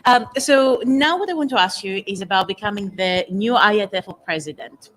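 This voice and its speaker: A woman's voice: medium pitch, lively intonation, a little fast, a bit bright, a little hiss or hum. Woman, interviewer, conference chat, friendly questions, affirming.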